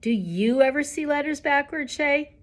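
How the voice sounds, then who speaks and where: A woman voicing a nerdy, clever, calmly confident schoolboy with a deliberate, pointed delivery in a storybook. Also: high voice, pitched above the performer's own voice. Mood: mock-sympathetic.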